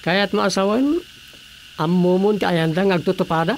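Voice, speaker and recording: A man's voice: sits high, fairly even intonation, normal speed, creaky, slight background noise. A man playing a self-assured village officer-in-charge in a comedic radio drama, commanding and matter-of-fact. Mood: plotting.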